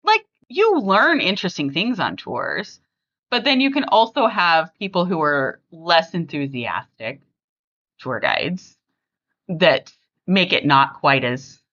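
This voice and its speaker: A woman hosting a casual family podcast, chatting conversationally and thinking out loud as she talks. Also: fairly low pitch, sing-song, slow, dull audio. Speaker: podcast host.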